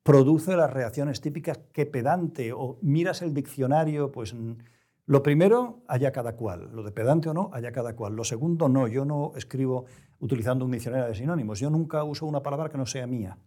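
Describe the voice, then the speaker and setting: A middle-aged man who is a writer, talking reflectively in an interview, choosing his words with pauses and restarts. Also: mid-range voice, warm tone. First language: Spanish. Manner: measured, searching for words, frequent restarts. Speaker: novelist, interview guest.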